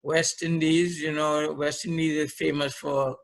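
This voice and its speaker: A man's voice: a little high, little pitch movement, unhurried. A middle-aged or older man with a Trinidadian accent, speaking conversationally as an interview guest about his own experience. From Trinidad and Tobago. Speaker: podcast guest, leadership trainer. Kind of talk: conversational interview, recounting career.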